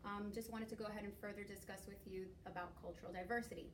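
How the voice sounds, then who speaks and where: A woman's voice: medium pitch, fairly even intonation, fast. A young woman from Miami leads a class presentation conversation, hesitant with many fillers. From Miami, United States. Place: skills lab room. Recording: roomy echo, mic at a distance, faint noise.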